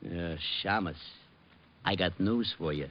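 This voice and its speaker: An actor playing a dim, blustering police sergeant with rough, slangy diction in old radio comic-relief style. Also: male voice, low-pitched, unhurried. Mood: gruff. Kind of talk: 1940s-50s radio comic-relief acting. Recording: muffled, noisy vintage radio recording.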